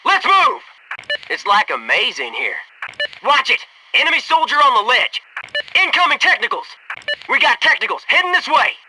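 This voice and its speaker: An actor playing a brash, wisecracking American military commando in a video game, with clipped, gung-ho tactical talk and wry slang. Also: male voice, very high-pitched, very animated, moderate pace, recorded from a distance, noisy background. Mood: alarmed, barking. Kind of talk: video game voice acting, tactical battlefield barks.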